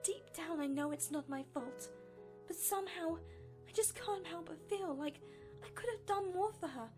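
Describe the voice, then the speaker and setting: A woman playing a gentle, grieving maid pony in a cartoon, dutiful and guilt-ridden, speaking in a sorrowful, halting way. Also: extremely high pitch. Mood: grieving, guilty. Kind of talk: animated cartoon acting. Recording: faint music behind the voice.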